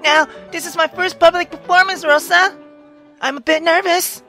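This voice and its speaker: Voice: extremely high pitch, fairly even intonation, moderate pace, pushed and bright, faint music behind, voice pushed up, exaggerated voice. A performer voicing a nervous, innocent little girl with a hesitant manner, reading a visual novel character. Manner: hesitant, timid, stammering.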